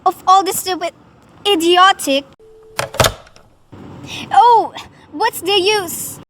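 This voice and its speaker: Woman acting shrill, nervous, demanding invalid wife. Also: slow pace, noisy background. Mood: irritated, frantic. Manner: agitated, complaining, imperious. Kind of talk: amateur radio play acting.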